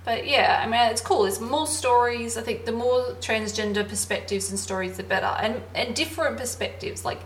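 A woman's voice: a little high, a little fast, few pauses, a little hiss or hum. An Australian woman with a slight lisp co-hosting a podcast, chatting casually, often giggling and thinking aloud. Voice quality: slightly lispy. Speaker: podcast co-host. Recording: roomy echo, faint ambience.

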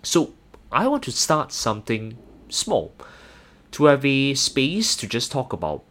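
A young man with a Singaporean accent hosting a solo personal finance podcast, earnest and measured, with occasional hesitant fillers. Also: mid-range voice, wildly swooping pitch, fairly bright tone. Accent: Singaporean English. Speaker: podcast host.

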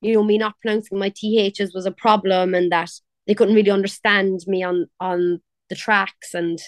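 A woman's voice: medium pitch, flat delivery, normal speed, no echo. A young woman from Cork with a Cork Irish accent, chatting casually as an interview guest, laughing and quoting others. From Ireland. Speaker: pop singer, guest.

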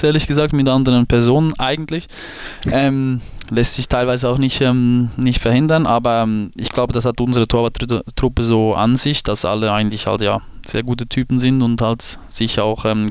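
A man in his late twenties from Switzerland with a Swiss German accent, a guest talking casually in a podcast interview and pausing often with fillers and restarts. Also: medium pitch, clean tone, no room sound. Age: 20s. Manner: hesitant, many fillers and restarts. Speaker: football goalkeeper guest.

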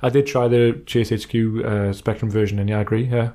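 Male podcast co-host, low and soft-edged voice, relaxed informal delivery, comfortable moving between reading aloud and chat. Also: fairly quick. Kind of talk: casual chat about retro racing games, reading out listener emails.

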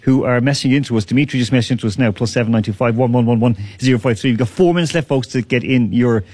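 A man hosting a radio quiz, teasing contestants, laughing often and repeating questions slowly. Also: mid-range voice, darkish tone, dry recording. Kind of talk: lively radio quiz banter.

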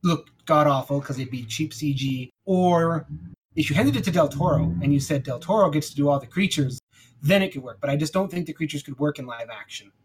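A man co-hosting a podcast, chatting casually and pitching ideas in informal, emphatic language. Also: brisk, a little hiss or hum, rough recording. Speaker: podcast co-host.

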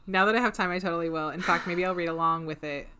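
A woman's voice: on the low side, steady tone, fast talker, pushed and bright, smooth. A young woman co-hosting a casual chat podcast, laid-back and swearing, often saying "dude". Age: young adult. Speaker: podcast co-host.

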